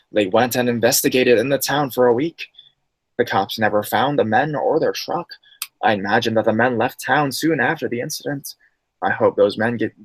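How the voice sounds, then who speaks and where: A man's voice: mid-range voice, fairly bright tone, rough recording. A young adult man who reads viewer horror stories aloud and then chats loosely with his live-stream audience, full of slang. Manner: slangy, loose and chatty, calls listeners bro and dude.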